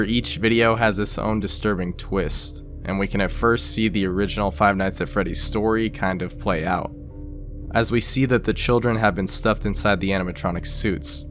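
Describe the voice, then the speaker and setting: A man's voice: low-pitched, a bit bright. A man narrating a scripted explainer in a conversational way, with casual asides. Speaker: video essay narrator. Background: background music.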